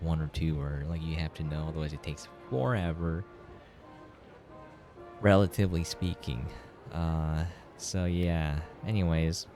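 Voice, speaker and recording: Deep guy doing casual game commentary, kinda halting and muttery, faint music behind. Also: a little mellow. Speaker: game reviewer playing on a recording.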